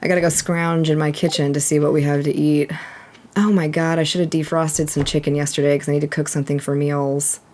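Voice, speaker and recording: A woman in her thirties from Texas chatting casually and relaxed while streaming a game, reading chat aloud. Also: sits low, brisk, slight background noise.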